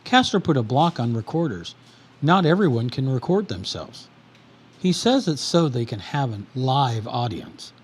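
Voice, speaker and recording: A man's voice: mid-range voice, sing-song, unhurried, slightly rough. A man playing a frustrated, matter-of-fact investigator. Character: grim male investigator, frustrated. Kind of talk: audio drama acting.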